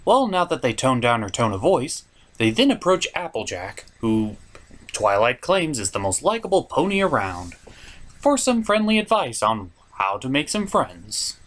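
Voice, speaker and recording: A man's voice: medium pitch, very animated, moderate pace, a bit bright. A man giving a scripted comedic review as host, with an exasperated, sweary, ranting delivery. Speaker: puppet-show reviewer and host.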